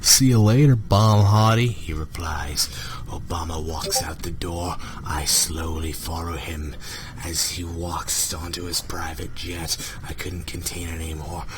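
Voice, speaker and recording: A man hosting a comic fanfiction readalong, narrating theatrically and often dropping into breathy whispers. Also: very deep voice, level tone, unhurried. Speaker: reader and host. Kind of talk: comic dramatic fanfiction readalong among friends. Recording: noisy home mic with clicks.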